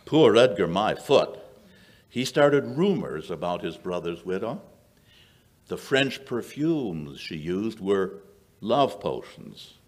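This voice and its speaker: Male actor as gentleman sleuth for radio play: low register, lively swoops, shrewd, dry, measured storyteller. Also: heavily acted. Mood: sardonic, grave. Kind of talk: old-time radio drama acting. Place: small indoor stage before an audience. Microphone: vintage-style stand microphone.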